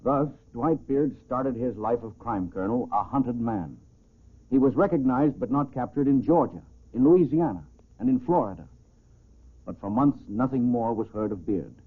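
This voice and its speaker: An actor playing a grave American police chief, solemnly narrating a case in a 1940s radio crime drama with a husky voice. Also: male voice, medium pitch, animated, moderate pace. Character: grave male small-town police chief recounting a case. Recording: tinny, band-limited old radio recording.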